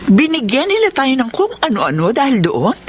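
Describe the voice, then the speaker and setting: Frank, lively loyal wife. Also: female voice, high-pitched, moderate pace. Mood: concerned. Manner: earnest, frank. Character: loyal, outspoken wife and devoted younger sister. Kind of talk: Filipino radio drama acting. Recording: faint background noise on radio drama.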